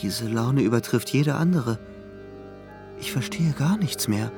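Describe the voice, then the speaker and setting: A man's voice: mid-range voice, lively intonation, measured pace, music in the background. A young man acting an ambitious, romantic, easily piqued Parisian youth in a radio drama, musing to himself. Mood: baffled, piqued. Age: young adult.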